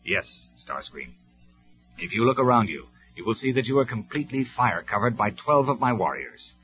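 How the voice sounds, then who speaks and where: A commanding robot leader, as a male narrator puts him on, speaking with quiet, measured authority and a lively swing in pitch. The storybook recording sounds slightly dull, with faint music behind. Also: breathy edge. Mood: quietly menacing.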